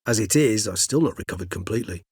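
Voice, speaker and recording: A man's voice: fairly low pitch, lively intonation, slightly rough, no room sound, clean studio sound. An actor voicing a cultured older male vampire in a video game, speaking formally and precisely. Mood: composed, reflective. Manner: erudite, formal, measured, wordy phrasing. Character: cultured, mild-mannered older male vampire, learned and courteous. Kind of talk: theatrical video game dialogue.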